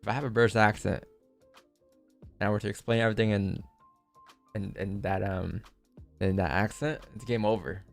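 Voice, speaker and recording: A man's voice: lowish voice, fairly even intonation, normal speed, vocal fry, pauses often, soft background music. A young man hosting a live trading stream, talking casually and jokingly straight to his chat while he walks through charts. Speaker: livestream trading host.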